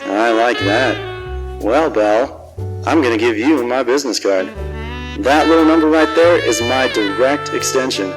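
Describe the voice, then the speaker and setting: An actor playing a cocky, wisecracking old-time private detective in a noir radio drama, wry and swaggering. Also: male voice, mid-range voice, a little slow, music in the background, natural voice, low-quality recording. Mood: flirtatious, cocky. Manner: smooth-talking, wry, swaggering. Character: cocky, wisecracking 1940s hard-boiled male private detective.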